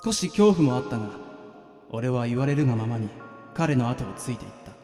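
A man's voice: mid-range voice, animated, a little fast, darkish tone, lightly acted. A man playing a weary, bewildered ordinary guy in a radio drama, speaking casually and narrating his own ghostly encounter. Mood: reflective narrating. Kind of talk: radio drama dialogue and first-person narration. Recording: echoey reverberant sound, music underneath.

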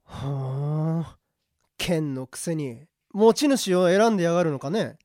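A man acting a gruff but kind-hearted prison guard in an amateur radio drama, with blunt, slangy talk. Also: on the high side, measured pace, a little mellow, dry recording, clean studio sound, close to an everyday voice. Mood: grumbling.

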